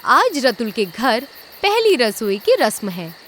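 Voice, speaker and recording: A woman narrating a scripted animated horror story. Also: on the high side, slow, slight background noise.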